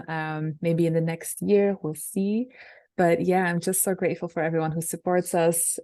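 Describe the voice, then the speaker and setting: A young woman singer with a low alto voice, chatting casually over a video call about her music with many fillers. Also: darkish tone. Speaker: singer and songwriter, interview guest. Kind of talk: casual interview answers.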